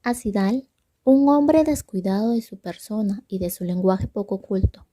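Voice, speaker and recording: A young woman narrates a scripted story. Her timbre is extremely mellow and dark, with a middling pitch. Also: expressive, moderate pace. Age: young adult. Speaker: student narrator.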